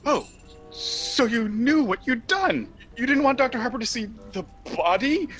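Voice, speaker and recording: An actor playing a frantic, appalled nephew in a theatrical radio comedy, exasperated and stammering. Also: male voice, extremely high pitch, expressive, normal speed, a bit bright, faint music behind. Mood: incredulous. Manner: exasperated, stammering, wildly exclaiming. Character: frantic, appalled nephew discovering his aunts' crimes.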